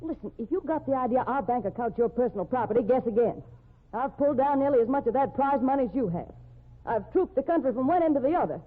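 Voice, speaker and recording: A woman's voice: sits high, animated, fast talker. An actress playing a tough, outspoken cowgirl wife with blunt, slangy talk in an old-time radio drama. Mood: indignant. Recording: tinny, band-limited old radio recording.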